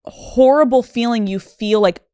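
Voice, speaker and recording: A woman's voice: a little high, unhurried, dark tone, clear, resonant tone, dry recording. A woman hosting a podcast interview, casual and supportive, swearing freely and jumping in with relatable stories. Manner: enthusiastic, supportive, swears casually, mimics voices. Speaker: podcast host. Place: podcast studio.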